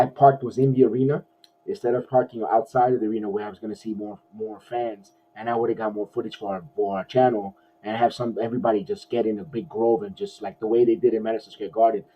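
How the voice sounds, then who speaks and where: A hoarse man hosts a loose post-game sports show, rambling through the numbers with plenty of restarts. His husky voice comes through a dull, lo-fi recording. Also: mid-range voice. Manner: rambling, many restarts, excited fan. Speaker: podcast host. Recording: dull lo-fi audio.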